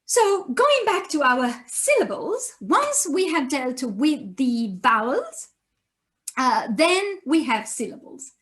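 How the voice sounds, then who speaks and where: High-voiced Italian-accented woman teaching. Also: big pitch swings, a bit bright. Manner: patient, spells out sounds slowly for learners to repeat. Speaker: university Japanese lecturer. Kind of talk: recorded online lesson.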